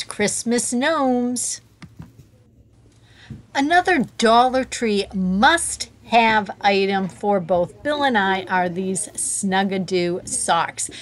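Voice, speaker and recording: A woman narrating a shop-with-me vlog inside a store, filming on a handheld phone and gushing over the items she finds. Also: medium pitch. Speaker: shopping vlogger. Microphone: handheld phone camera.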